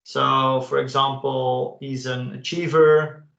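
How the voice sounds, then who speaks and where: Gravelly man demoing software. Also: medium pitch, little pitch movement, takes it slowly, highs cut off. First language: Dutch. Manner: casual, enthusiastic, frequent "uh" fillers. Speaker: webinar presenter. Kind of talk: live software demo walkthrough. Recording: roomy echo.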